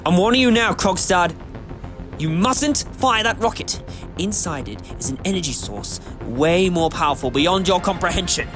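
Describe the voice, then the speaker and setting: An actor playing an eccentric, clever time-travelling adventurer in a fan audio drama, theatrical, with musing hesitations. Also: male voice, high voice, animated, normal speed, over music. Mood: grave warning.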